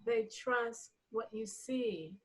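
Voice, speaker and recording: Husky-edged woman, thoughtful and quick to laugh. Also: fairly high pitch. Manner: laughs readily while talking. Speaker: interviewed writer and director. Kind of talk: reflective interview conversation.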